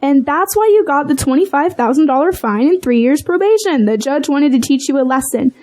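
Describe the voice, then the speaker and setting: An actress playing a stern, unsympathetic defense lawyer in an audio drama, speaking firmly and in an admonishing way. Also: female voice, high-pitched, animated, a little fast, darkish tone. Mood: defensive, blunt. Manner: firm, admonishing.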